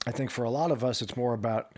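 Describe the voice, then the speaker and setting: A man hosting a casual interview podcast, asking halting questions with frequent restarts and short agreements. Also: medium pitch, darkish tone. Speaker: podcast host.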